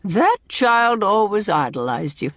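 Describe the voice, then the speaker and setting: A woman's voice: medium pitch, very animated, measured pace, no room sound, pitched above the performer's own voice. A woman voicing a stern, opinionated older village matron in an audiobook, emphatic and scolding with old-fashioned country phrasing. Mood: musing. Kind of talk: audiobook character dialogue.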